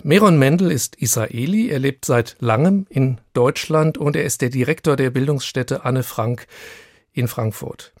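A man's voice: mid-range voice, a little slow, dry recording, studio quality. A man from Germany, co-hosting a radio feature with scripted narration and interview questions. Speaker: co-host of a radio programme.

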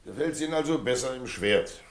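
An actor playing a wry, washed-up, theatrical tavern landlord in a drama, drawling with irony. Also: male voice, medium pitch, expressive, a little fast, close to an everyday voice. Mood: wry, ironic. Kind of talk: stage-style radio drama dialogue. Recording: roomy, distant, plucked guitar behind.

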